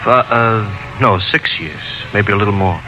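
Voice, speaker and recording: A man's voice: lowish voice, a little slow, over music, lightly acted, poor audio. An actor playing a grieving, plain-spoken working man, hesitant and colloquial, in an old radio drama. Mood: subdued grief. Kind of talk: 1950s radio drama acting. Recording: faintly hissy old radio recording.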